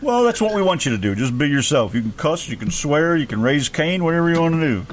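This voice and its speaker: A man's voice: a little high, very animated, fast talker. A man hosting a radio interview in casual, blunt, joking and salty talk. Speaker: radio show host.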